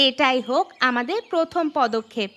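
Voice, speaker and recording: A high-voiced village woman from a cartoon , acted by a woman. She talks persuasively and reassuringly, urging others on, with music playing underneath. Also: animated, normal speed, pushed and bright. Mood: encouraging. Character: encouraging village woman planning a fish-fry business. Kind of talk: cartoon dialogue.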